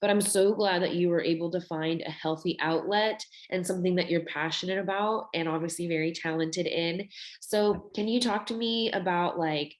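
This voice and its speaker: A woman hosts a podcast interview in a fairly low voice. She puts her questions slowly and deliberately, drawing each one out. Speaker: podcast host. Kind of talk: interview hosting.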